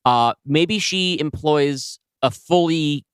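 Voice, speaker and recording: A man's voice: fairly high pitch, takes it slowly, smooth, dry recording, studio quality. A man co-hosting a podcast, discussing ideas in a casual, analytical, conversational way. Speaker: podcast co-host and writer. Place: indoors, two people seated across from each other.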